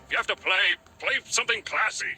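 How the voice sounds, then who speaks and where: An actor playing a grumpy passerby who talks in a gruff, scornful way. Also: male voice, high-pitched, fast talker, bright, forceful tone, faint music behind.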